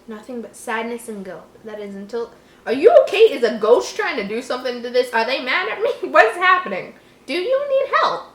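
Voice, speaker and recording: A woman's voice: a little high, fairly bright tone. A woman reading a story aloud casually, with giggly, mocking asides of her own. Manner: giggly, breaks off to mock the text. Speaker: host reading an online horror story aloud. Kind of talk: casual read-aloud with joking commentary. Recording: roomy echo, faint background noise.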